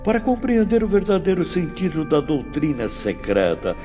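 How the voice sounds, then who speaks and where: An actor playing a stern, learned spirit teacher in a dramatised audiobook, lecturing in a solemn, emphatic, oratorical way. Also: male voice, a little high, very animated, normal speed, dark tone. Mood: grave, instructive. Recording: muffled, long reverb, music behind.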